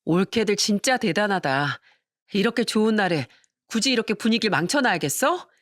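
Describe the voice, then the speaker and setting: A woman's voice: medium pitch, lively intonation, a little fast, no echo, studio quality, close to an everyday voice. A woman voicing a disapproving, exasperated sister of the husband, speaking reproachfully in a family story.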